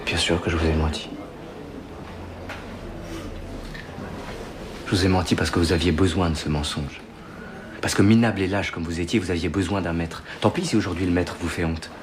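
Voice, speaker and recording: A man's voice: sits low, fairly even intonation, fast, noisy background, lightly acted. A man playing a bitter self-loathing failure. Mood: scornful. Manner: bitter, self-lacerating confrontation. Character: bitter man calling himself a washed-up failure. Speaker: character in a feature film. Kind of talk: film acting.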